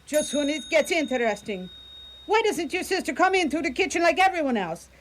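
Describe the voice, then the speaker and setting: A woman playing a bossy, excitable Italian mother in a radio play, full of exclamations. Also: sits high, a little fast, slight background noise. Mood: shocked, exasperated. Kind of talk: community radio theatre acting.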